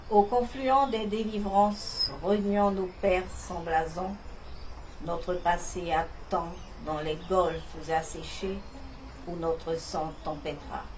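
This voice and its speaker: A woman recites poetry slowly in a somewhat low voice. She sounds a little way from the microphone, with faint ambient noise around her. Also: distant mic, poor audio. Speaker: poetry reader.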